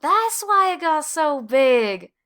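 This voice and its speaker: Woman voicing an eager, high-voiced little boy mouse. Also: slow pace, exaggerated voice. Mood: surprised. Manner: emphatic, expressive storybook dialogue. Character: eager, friendly young male field mouse.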